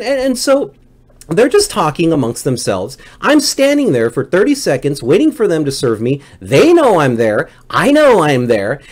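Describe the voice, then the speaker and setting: A man's voice: fairly high pitch, a little mellow. A middle-aged man telling a comic story as a livestream host, exasperated and swearing freely. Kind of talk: comedic storytelling monologue.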